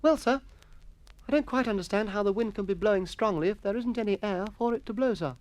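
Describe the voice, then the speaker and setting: A man doing an eager, high-pitched schoolboy. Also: fast, a little mellow, lightly acted. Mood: earnest. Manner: breathless, earnest pleading with sir. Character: eager chattering schoolboy Jennings, cheeky. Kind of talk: audiobook character voice.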